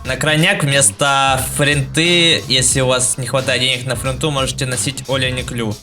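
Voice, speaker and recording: A man's voice: mid-range voice, little pitch movement, normal speed, bright, forceful tone. A man narrating a casual gaming tutorial in an informal, slangy, explanatory way, with a speech impediment on the L sound. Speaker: video game guide creator. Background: background music.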